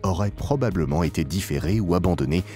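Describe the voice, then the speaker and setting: Deep-voiced male narrator, lively intonation. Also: fast talker. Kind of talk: scripted promotional documentary narration. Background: background music.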